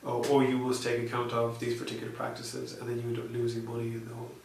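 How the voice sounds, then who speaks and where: Man, interview answers, halting speech, gravelly monotone, roomy echo. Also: mid-range voice. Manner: hesitant, many fillers and restarts. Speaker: interviewee, software researcher. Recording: echoey room, distant mic, faint noise.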